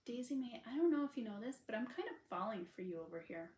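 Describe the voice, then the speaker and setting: A woman's voice: fairly high pitch, big pitch swings, fast talker, a little mellow. A woman voicing an earnest, smitten male hockey star in a romance audiobook. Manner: earnest, hesitant, trying to sound casual. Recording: unedited roomy home recording.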